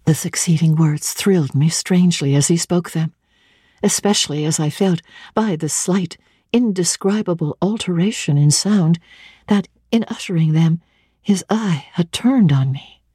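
A woman giving a measured, expressive dramatic reading of a classic novel, as its regular reader. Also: lowish voice, breathy edge, no echo, studio quality.

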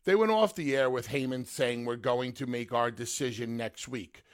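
A man's voice: mid-range voice, normal speed. A man co-hosting a talk radio show, pressing his partner with questions and repeating himself to cut in. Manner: pushy questioning, repeats to interrupt. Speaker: radio co-host.

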